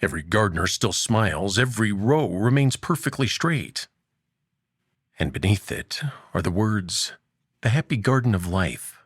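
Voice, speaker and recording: A man narrating a scripted audio story as its host, in a measured, dramatic way with deliberate pauses. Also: lowish voice, very animated, breathy edge, no echo, clean studio sound.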